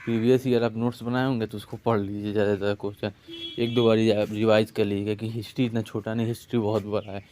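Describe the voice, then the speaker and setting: A man's voice: lowish voice, level tone, fast talker, a little mellow, a little hiss or hum. A man giving informal exam advice as a coach, repeating his instructions and addressing students directly.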